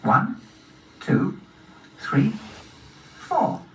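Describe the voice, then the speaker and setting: A woman's voice: medium pitch, huge pitch swings, extremely slow, a bit bright, pitched above the performer's own voice, exaggerated voice. A woman voicing a friendly, helpful animal hero in a children's story, with a polite, earnest manner. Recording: roomy, distant, faintly noisy recording.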